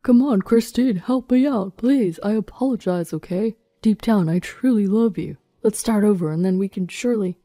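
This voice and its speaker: A woman's voice: lowish voice, moderate pace, hardly any pauses, dark tone, husky, faint music behind. A woman voicing a desperate, indignant cheating husband in an agitated, pleading way for a dramatic story.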